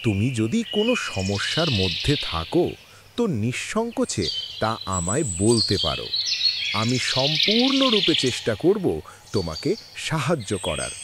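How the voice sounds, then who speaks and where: A man's voice: medium pitch, huge pitch swings, a little slow, very noisy. A man voicing a blind, wise old man in a moral-story cartoon, with a solemn delivery. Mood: grateful, kindly. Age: older.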